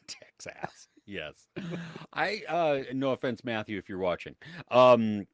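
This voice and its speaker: A man's voice: medium pitch, very animated, slow pace, no room sound, studio quality. An American man co-hosting a live podcast, chatting casually about movies and reading viewer comments, with lots of fillers and frequent laughs. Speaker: podcast co-host. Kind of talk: casual live-stream chat.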